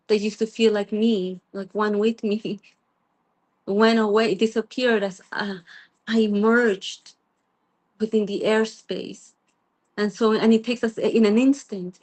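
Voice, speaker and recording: Middle-aged woman from Peru, guest sharing a personal story, earnest and halting, mid-pitched. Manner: earnest, halting with frequent restarts and soft laughs. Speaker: guest sharing her spiritual journey. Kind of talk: reflective personal sharing in a group call.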